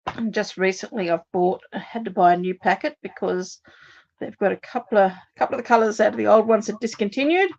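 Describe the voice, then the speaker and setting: A woman's voice: mid-range voice, brisk, creaky, a little mellow, dull audio. An Australian woman hosting a live card-making demonstration, chatting and thinking aloud to viewers as she works, her voice a little worn. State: slightly losing her voice. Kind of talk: casual live crafting demonstration.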